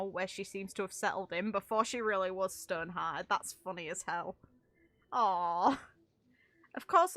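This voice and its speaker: Bright-voiced woman, wry and casual. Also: mid-range voice, no echo. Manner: wry, sarcastic asides, stumbles while reading. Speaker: streamer reading and reacting to game lore. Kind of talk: casual reading aloud with off-the-cuff commentary.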